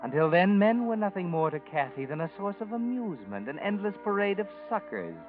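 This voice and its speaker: A man's voice: high voice, very animated, fairly quick, over music. An actor playing a mysterious, brooding male narrator who walks by night, telling a story in solemn 1940s radio drama style. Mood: knowing. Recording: tinny old radio broadcast.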